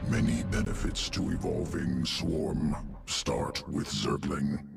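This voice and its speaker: Super deep gravelly alien bug scientist, slow clipped clinical phrases, game dialogue w music behind. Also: male voice, full character voice. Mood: cold, clinical. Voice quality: deep guttural gravelly croak. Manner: clipped telegraphic phrases, detached and methodical. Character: alien insectoid geneticist creature, cold, clinical, obsessive. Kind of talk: video game character dialogue.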